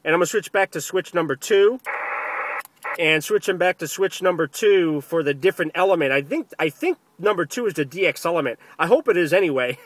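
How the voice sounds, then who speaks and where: A man's voice: a little high, expressive, fairly quick, no room sound. A man operating amateur radio, talking over the air and repeating phrases for emphasis. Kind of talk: ham radio contact, spoken to camera and over the air. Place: outdoors in the field.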